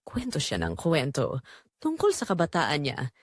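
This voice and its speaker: A woman's voice: low-pitched, wildly swooping pitch, measured pace, dry recording, clean studio sound, exaggerated voice. A woman playing a guilt-ridden young woman who confesses a forbidden love in an emotive, dramatic audio drama narration. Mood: wistful.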